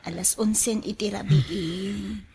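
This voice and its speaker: A woman's voice: medium pitch, fairly even intonation, slow, mellow, breathy edge, exaggerated voice. A woman playing a clingy, petulant young mistress in a radio drama, whining and wheedling with an Ilocano accent. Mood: coaxing.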